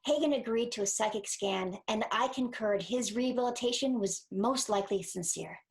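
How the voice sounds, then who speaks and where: A woman plays an earnest young superheroine who counsels others. Her voice sits fairly high, with a caring, persuasive manner suited to a scripted superhero audio play. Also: normal speed, creaky. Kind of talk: amateur audio drama acting.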